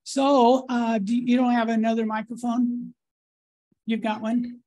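A man moderating a conference panel, speaking with many halting restarts and repeated words. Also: extremely high pitch, little pitch movement, dark tone, low-quality recording.

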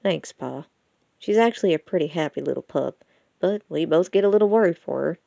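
A woman's voice: sits low, lively intonation, a little fast, vocal fry, mellow, no room sound, exaggerated voice. A woman voicing a grown male wolf, a dutiful and mildly exasperated son, in an audiobook story. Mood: fond, concerned. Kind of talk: audiobook character dialogue.

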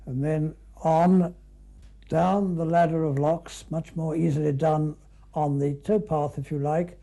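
Older man, British accent, reminiscing commentary, conversational, musing asides, very mellow tone, slightly high pitch. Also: steady tone, a little slow, creaky. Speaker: canoeist recalling an expedition.

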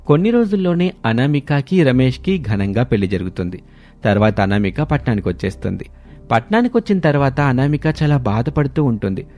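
A man's voice: medium pitch, animated, brisk, mellow. A man narrating a scripted family moral story as a storyteller. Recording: background music under voice. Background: music.

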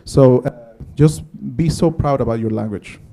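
A man in his thirties with a Guatemalan Spanish accent speaks as a conference presenter. His voice is very dark and soft-edged, at an ordinary male pitch. He lectures conversationally, pausing often, into a handheld microphone in an auditorium. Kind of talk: public lecture.

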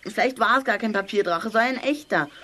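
A woman's voice: mid-range voice, fast talker, a bit bright, lightly acted. A woman playing a tiny, anxious sprite who frets and wails dramatically in a children's radio play. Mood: fearful. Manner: panicky, dramatic wailing.

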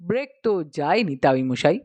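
A woman's voice: fairly low pitch, sing-song, normal speed, dark tone, no room sound, voice pushed down. Woman playing a smug, breezy uncle. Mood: nonchalant, smug. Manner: smug, casual, mixes English into speech. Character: breezy, unflappable young uncle, self-assured and logical.

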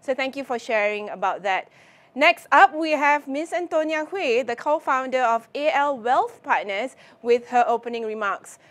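A woman's voice: high voice, lively intonation, moderate pace, a bit bright. A woman hosting an online event, thanking speakers and introducing the next with upbeat announcing. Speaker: event host. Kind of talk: host introductions.

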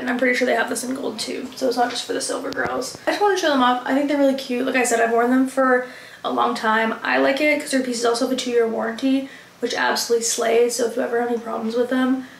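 A woman's voice: high voice, vocal fry, a little hiss or hum. A young woman in her twenties chatting casually in a vlog, bubbly and gushing, full of "like" fillers, at home. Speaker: vlogger. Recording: echoey room with faint ambience.

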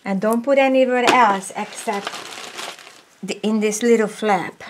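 Woman, slow, crafting tutorial, faint background hiss. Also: mid-range voice. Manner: slow, step-by-step, drops to a whisper while working. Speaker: papercraft tutorial host. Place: craft table indoors, overhead camera.

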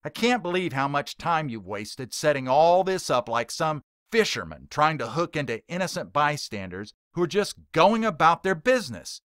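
A man's voice: fairly high pitch, very animated, moderate pace, hardly any pauses, fairly bright tone, no room sound, studio quality, voice pushed up. A man reading a story voicing a hostile, bold heckler who rants sharply and accusingly. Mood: angry. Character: hostile young male heckler, bold and scornful.

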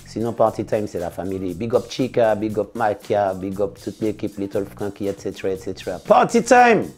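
Brief: relaxed fifties male singer-DJ, low mellow voice, studio interview, soft music bed. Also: normal speed. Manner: relaxed, laid-back, with slangy big-ups. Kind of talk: casual interview answers. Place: studio in front of blue backdrop.

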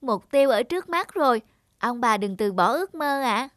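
A woman's voice: a little high, animated, moderate pace, fairly bright tone, no room sound, studio quality. An actress playing a kind, caring young girl in a cartoon, speaking politely and earnestly. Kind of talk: animated moral tale voice acting.

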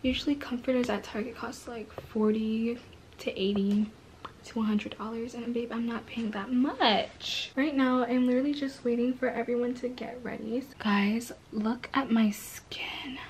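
A woman's voice: fairly high pitch, steady tone, a little slow, darkish tone, slight background noise. A teenage girl talking casually to her viewers in her bedroom and kitchen, chatty and often dropping to a whisper, into a handheld camera close to her face. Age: teens. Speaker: vlogger. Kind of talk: casual day-in-the-life vlog. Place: bedroom and kitchen at home, indoors.

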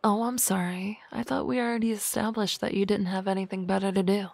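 A woman voicing a sardonic, teasing office-lady boss in an ASMR roleplay, with dry banter and soft whispery asides on a close mic. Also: medium pitch, fairly even intonation, fairly quick, vocal fry, dry recording.

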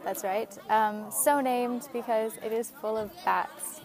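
A woman's voice: a little high, fairly even intonation, takes it slowly, a little mellow, some chatter behind. A woman in her thirties vlogging outdoors while travelling, talking casually into a phone held at arm's length, rambling with lots of ums and likes. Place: outdoors at scenic sites. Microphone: phone at arm's length with earphone mic.

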